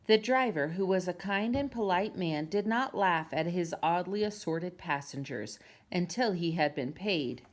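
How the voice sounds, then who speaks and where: A woman's voice: fairly low pitch, lively intonation, a little slow. A woman reading a children's storybook aloud as narrator, in an amateur home recording. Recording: clear background noise, amateur home video.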